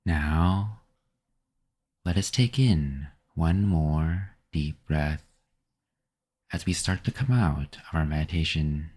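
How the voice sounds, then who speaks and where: A man leading a scripted guided meditation in a calm, gentle, measured manner with pauses between phrases. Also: a bass voice, breathy edge.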